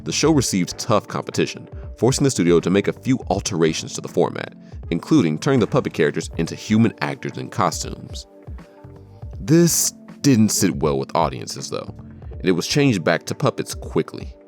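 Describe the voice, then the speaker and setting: A man's voice: deep voice, big pitch swings, normal speed, a little mellow, soft background music. A man reading scripted narration for a countdown video.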